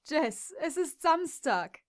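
A woman's voice: high voice, takes it slowly, voice pushed up, exaggerated voice. A woman voicing an easygoing young stallion who talks in a soothing, teasing way. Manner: relaxed and soothing.

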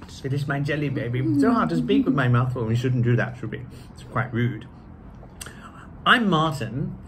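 A man's voice: medium pitch, big pitch swings, moderate pace. A middle-aged man putting on a British accent, reading to children in a theatrical, genteel and effusive way with playful banter. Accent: British, put on. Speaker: children's storybook reader, actor. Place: living room with a piano. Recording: faint background room noise.

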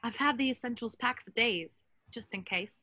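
A woman's voice: a little high, moderate pace, sharp tone, no echo. A young woman reading a clever, practical, bossy teenage witch girl in an amateur table read. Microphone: video call.

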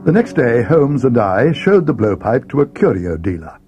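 Male narrator: schoolboy sidekick recounting a mystery, expressive storybook delivery, music underneath. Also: mid-range voice, normal speed, dull audio. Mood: measured, grave.

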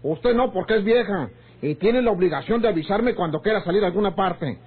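An actor playing a domineering, hot-tempered peasant husband with a rural northern Mexican accent and bossy folksy speech in an old radio drama. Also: male voice, high-pitched, animated, fairly quick, breathy edge. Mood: bossy, irritated. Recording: tinny, band-limited old radio serial.

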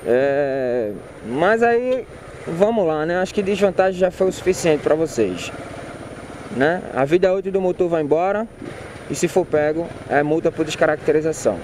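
A man's voice: on the high side, animated, moderate pace. A man talking casually and informally while riding a motorcycle in city traffic, repeating himself and using slang. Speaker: motovlogger. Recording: loud road and wind noise. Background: loud traffic and engine noise.

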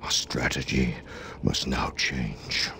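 A man playing an ancient, menacing dark lord in a video game, grave and commanding, with a gravelly rumbling voice. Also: fairly even intonation, a little hiss or hum, exaggerated voice. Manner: slow, grave, portentous. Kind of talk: cinematic villain acting in game cutscene.

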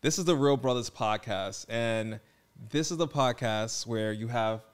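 A man in his twenties co-hosting a podcast, chatting playfully and teasing, recorded on a boom mic in a studio. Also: unhurried, clear, resonant tone. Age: 20s. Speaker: podcast co-host. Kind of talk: casual chat. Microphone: boom mic on stand.

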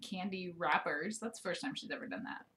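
A woman in her thirties co-hosting a casual ranch livestream from a living room couch, chatty and quick to laugh. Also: fairly low pitch, bright, forceful tone. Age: 30s. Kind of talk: casual live chat.

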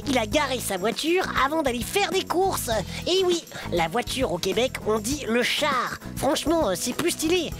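A woman voicing an excitable boy teddy bear puppet with a Belgian French accent, talking in an enthusiastic, slangy way. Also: high-pitched, normal speed, few pauses. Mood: excited. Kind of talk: children's puppet show. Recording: music behind the voice.

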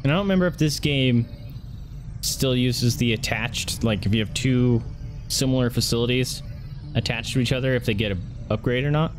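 A man's voice: mid-range voice, moderate pace. A man streaming a video game, casually commentating with wry asides to the characters. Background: game music.

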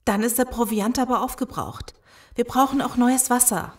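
A woman's voice: fairly high pitch, moderate pace, close to an everyday voice. An actress playing a tired, practical female survivor in a German radio play. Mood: relieved, tired. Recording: clear background noise with clicks.

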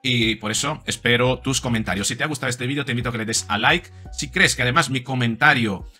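Reflective man, bright voice. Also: medium pitch, fast talker, soft background music. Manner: reflective, with many fillers and repeats. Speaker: psychologist and commentator. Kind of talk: video commentary to camera. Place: home studio room.

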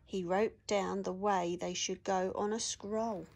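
A woman reading a children's picture book aloud with expressive, sing-song rhyming delivery. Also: medium pitch, slow, creaky.

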